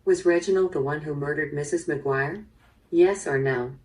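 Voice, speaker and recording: Deep, mellow woman hosting, distant sound. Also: moderate pace, a little hiss or hum. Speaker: host of an interactive story game. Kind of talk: scripted narration, quiz hosting. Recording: distant, played through a small speaker.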